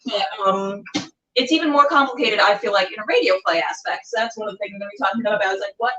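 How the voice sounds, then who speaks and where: A woman's voice: mid-range voice, expressive, fast, pushed and bright, a little hiss or hum. A woman chatting casually and playfully to camera as a cooking show host, rambling and talking to herself as she goes. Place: indoors while cooking, live stream. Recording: roomy, distant, dull camera sound.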